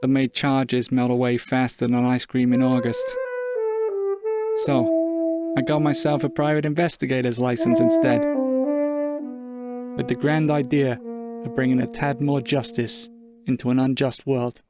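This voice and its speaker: An actor playing a world-weary, wry 1940s private investigator in a hardboiled noir audio drama, deadpan and measured. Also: male voice, medium pitch, natural voice. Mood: brooding, wry. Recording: saxophone music under the voice.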